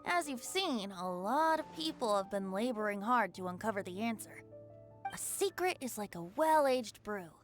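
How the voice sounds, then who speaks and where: A woman's voice: medium pitch, lively intonation, moderate pace, soft background music, natural voice. A woman voicing a carefree, teasing young boy bard in a video game, playful, breezy and theatrical. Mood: theatrical, sly.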